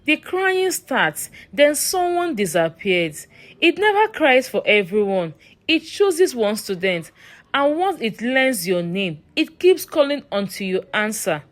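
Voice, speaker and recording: A woman's voice: a little high, big pitch swings, unhurried. A woman voicing a frightened schoolgirl who gives urgent, grave warnings in a horror folktale.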